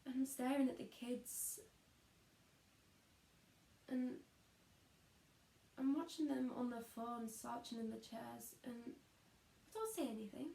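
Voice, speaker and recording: A woman's voice: fairly high pitch, slow pace, vocal fry, pauses often, warm tone. A woman playing a weary, disillusioned young schoolteacher in an introspective, confiding stage monologue. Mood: frustrated, despairing. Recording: echoey room.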